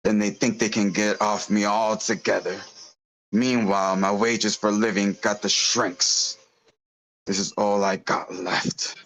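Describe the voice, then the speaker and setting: A man playing a bitter, self-pitying crime boss with a gruff, brooding manner, in a script table read. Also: on the low side, little pitch movement, a little slow, fairly bright tone, a little hiss or hum, rough recording.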